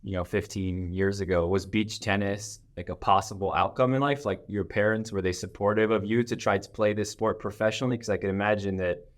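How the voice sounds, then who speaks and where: A man with a fairly low voice hosts a podcast interview. He speaks conversationally, in the manner of someone chatting with a guest. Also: fairly even intonation, fairly quick, few pauses. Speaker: podcast host.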